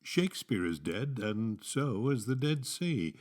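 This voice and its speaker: A man reciting poetry as an actor, in a solemn, measured, dramatic delivery. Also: mid-range voice, big pitch swings, a little mellow.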